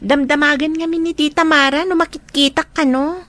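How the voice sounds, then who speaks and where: A college-age daughter in a radio drama. Her voice is high and the recording is dry. She comes across as dutiful and affectionate. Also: fairly even intonation, a little fast, a bit bright, no room sound. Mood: earnest.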